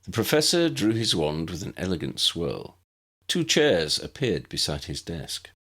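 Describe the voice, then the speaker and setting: A man narrating a scripted story for an audiobook in an expressive storytelling manner. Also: low voice, measured pace, husky. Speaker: author narrating own fan fiction audiobook.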